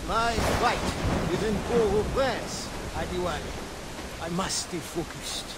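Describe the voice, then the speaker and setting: An actor playing a loyal, principled Maroon fighter in a video game, speaking formally and earnestly. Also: male voice, high voice, expressive, slow pace, very noisy. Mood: earnest, firm. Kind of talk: dramatic video game acting.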